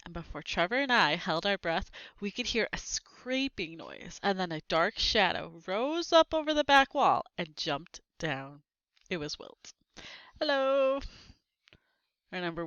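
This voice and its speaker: Lively bright-voiced woman reading aloud. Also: medium pitch, clear, resonant tone, no echo, highs cut off. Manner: expressive, acting out characters' lines. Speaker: reader of a children's book.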